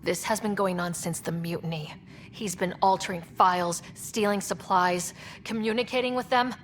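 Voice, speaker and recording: An actress playing a confident young expedition leader in a video game, decisive and wry. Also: female voice, lowish voice, steady tone, normal speed, a little hiss or hum, lightly acted. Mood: firm.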